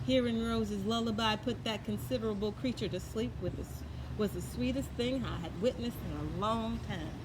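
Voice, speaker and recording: A woman's voice: fairly high pitch, moderate pace, background noise. Woman reading a children's tall tale aloud. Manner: expressive reading with folksy asides. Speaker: storyteller. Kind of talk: children's story read-aloud.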